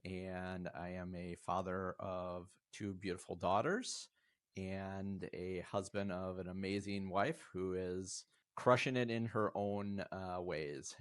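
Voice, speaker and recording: A man's voice: low-pitched, dry recording. A man in his fifties, a podcast guest, talking earnestly and reflectively in a motivational way. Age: 50s. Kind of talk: casual interview talk.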